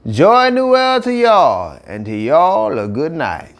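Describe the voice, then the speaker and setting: A man's voice: on the high side, big pitch swings, takes it slowly. A man voicing a hearty, determined Cajun Santa Claus from a storybook, calling out to his team with a Cajun accent. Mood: jolly farewell.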